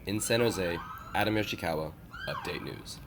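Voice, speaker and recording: Low-voiced man reading scripted news. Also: normal speed, noisy background. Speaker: news reporter.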